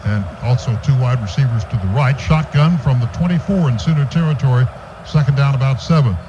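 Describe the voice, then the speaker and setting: A man's voice: medium pitch, brisk. An American man calling live football play-by-play on the radio, stretching words as plays develop. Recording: dull radio broadcast, clearly noisy.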